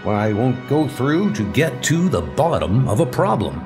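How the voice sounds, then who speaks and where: A man's voice: mid-range voice, sing-song, normal speed. A man playing a steadfast, wry, confident superhero in a comic book audio drama. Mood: determined. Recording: music under the voice.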